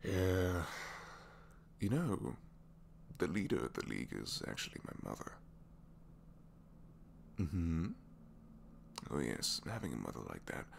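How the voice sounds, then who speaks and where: A man's voice: low-pitched, vocal fry, heavily acted. A man voicing a reluctant captured supervillain, dry, sheepish and complaining, in a hesitant, rambling way, for an intimate ASMR roleplay. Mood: confiding, sheepish. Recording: faint background noise.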